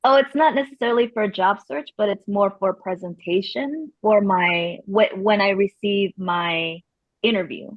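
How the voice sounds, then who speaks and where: A woman's voice: mid-range voice, moderate pace, no room sound. Muffled, close-sounding woman chatting casually. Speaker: meeting participant. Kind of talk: casual chat. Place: online video call. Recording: dull lo-fi video call audio.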